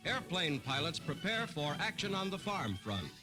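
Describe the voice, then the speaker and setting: A man's voice: fairly high pitch, big pitch swings, moderate pace, music in the background. A man with an American accent narrating a 1940s newsreel in a dramatic, formal, declamatory announcer style, on a vintage soundtrack.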